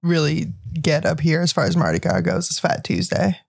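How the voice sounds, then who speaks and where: A woman's voice: sits low, sing-song, moderate pace, vocal fry, breathy edge, dry recording, clean studio sound. A woman chatting casually as a podcast co-host. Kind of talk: casual chat.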